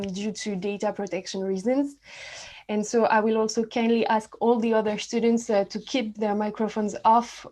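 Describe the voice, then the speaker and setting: A woman with a French accent moderating an online discussion in a polite, halting manner. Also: medium pitch, darkish tone.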